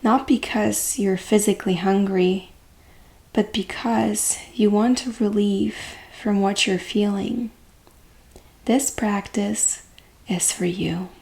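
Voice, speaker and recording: A woman leading a guided meditation as a coach, speaking gently and calmly with long, unhurried pauses. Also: medium pitch, creaky.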